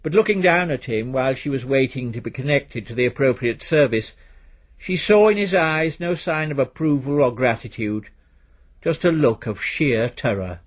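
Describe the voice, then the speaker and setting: A man's voice: mid-range voice, animated, normal speed, breathy edge. A man reading a literary story aloud as scripted narration.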